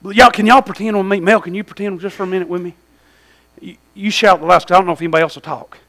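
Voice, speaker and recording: A man's voice: high voice, steady tone, rapid-fire, a bit bright. A man with a Southern US accent preaching an evangelistic sermon in a folksy, exhortatory way, putting questions to his listeners and repeating phrases for emphasis.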